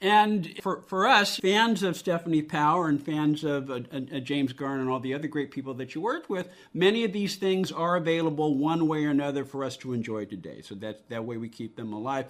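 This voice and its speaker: A man's voice: fairly high pitch, a little fast, hardly any pauses. A man hosting an interview show, asking questions hesitantly, with repeated fillers. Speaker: podcast host, interviewer.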